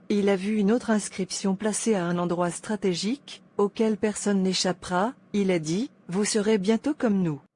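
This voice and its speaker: Mellow-voiced woman narrating a moral tale. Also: medium pitch. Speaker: story narrator.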